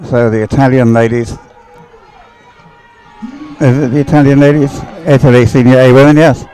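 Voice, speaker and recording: A man's voice: mid-range voice, steady tone, moderate pace, dark tone, a faint crowd, poor audio. A man giving live sports race commentary in a conversational, hesitant way, often musing aloud.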